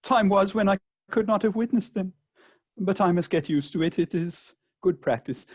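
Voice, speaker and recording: An actor plays a jilted gentleman suitor, high-pitched for a man and recorded dry. He declaims with pompous, wounded sarcasm in the manner of a theatrical radio comedy. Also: normal speed, no room sound. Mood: martyred, sarcastic. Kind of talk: theatrical comic radio play acting.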